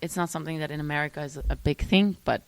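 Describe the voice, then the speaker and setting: Low-voiced young woman, dry sound. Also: fast, creaky, no room sound. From Switzerland. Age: young adult. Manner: animated, trails off and restarts often. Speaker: musician guest. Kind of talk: casual interview chat.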